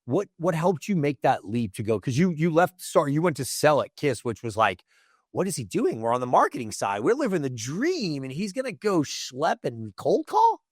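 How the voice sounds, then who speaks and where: A man hosting a podcast interview in casual chat, friendly, with quick short reactions. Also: a little high, huge pitch swings, breathy edge, no echo, clean studio sound. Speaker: podcast host.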